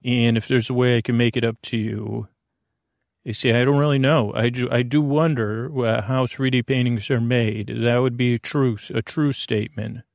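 A man with a gravelly voice hosts a sleep podcast, talking in a halting, meandering, whimsical way that keeps correcting itself. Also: mid-range voice, fairly even intonation, no echo, clean studio sound. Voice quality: creaky, gravelly. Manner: meandering, halting, digressive, with trailing self-corrections; whimsical, mildly absurd, apologetic. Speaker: podcast host. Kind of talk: rambling sleep podcast monologue.

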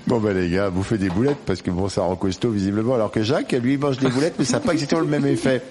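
A man's voice: mid-range voice, fast talker, darkish tone, a little hiss or hum. A man hosting a casual cooking interview, chatting in a rambling, teasing, playful way. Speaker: host interviewing a cook. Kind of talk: casual, joking chat. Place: indoors, in his own home kitchen.

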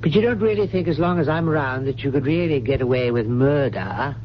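Man playing a stern, measured old butler. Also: medium pitch, animated, natural voice. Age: older. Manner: measured, formal, grave. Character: stern old loyal family butler, accusing and unafraid. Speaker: actor in a radio drama. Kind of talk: 1970s radio drama acting. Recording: muffled, noisy old radio broadcast.

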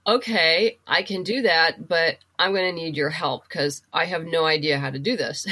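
Bright-voiced middle-aged woman in conversation. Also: sits low, normal speed. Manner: chatty, explanatory. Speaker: podcast guest, writer and producer. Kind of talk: casual interview talk.

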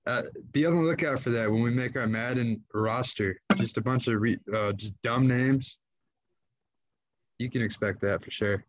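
A young man with an ordinary mid-range voice, relaxed and drawling as he chats. He talks like a casual podcast co-host among friends. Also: medium pitch, moderate pace, muffled recording. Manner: laid-back, drawling, says "dude" often. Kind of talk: casual chat.

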